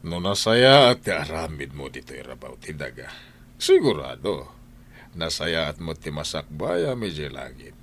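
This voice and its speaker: A man's voice: extremely low pitch, big pitch swings, fairly bright tone, full character voice. A man playing a stern, domineering husband and head of the household in an audio drama, lecturing in an Ilocano Filipino accent. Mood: preachy, stern. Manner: slow, lecturing, commanding.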